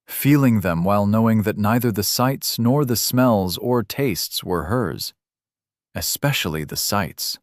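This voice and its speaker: Slow, dry-voiced male narrator. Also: on the low side, no echo. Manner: measured, unhurried storytelling. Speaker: audiobook narrator. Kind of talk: scripted fantasy narration.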